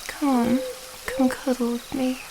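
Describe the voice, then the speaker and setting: A woman playing an earnest, affectionate, slightly flustered young princess in an intimate ASMR romance roleplay, speaking softly and hesitantly, rambling gently. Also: high voice, noisy background, full character voice. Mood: tender, shy.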